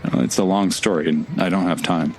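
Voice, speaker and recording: A man's voice: sits low, steady tone, a little fast, a little hiss or hum. An actor playing a blunt, sardonic male traveler in an audio drama, talking in a casual way. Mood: wry, resigned.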